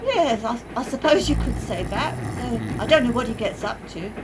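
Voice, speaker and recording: A put-upon British mother, played by a woman in a radio play, with a high, very lively voice. She scolds and grumbles bluntly in a resigned way over clear train noise. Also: moderate pace. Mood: weary grumbling. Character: put-upon, plain-spoken working-class mother of a young child.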